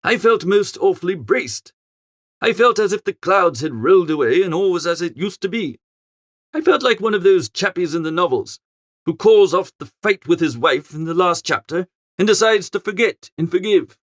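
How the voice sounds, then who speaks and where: Animated high-voiced male audiobook narrator. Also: moderate pace, no echo, studio quality. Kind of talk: animated first-person comic story narration.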